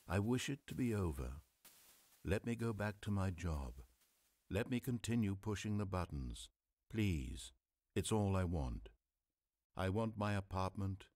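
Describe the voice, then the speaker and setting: A man's voice: expressive, a little slow, long pauses, a little mellow, no room sound. An actor playing a desperate office worker man who pleads and screams that he is real, over game music. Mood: quiet pleading. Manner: pleading, voice climbing high into screams.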